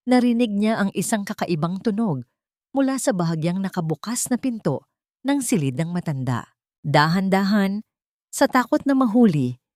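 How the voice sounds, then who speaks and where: A woman's voice: medium pitch, warm tone, dry recording, studio quality. A woman audiobook narrator reading a scripted story in a dramatic storytelling style.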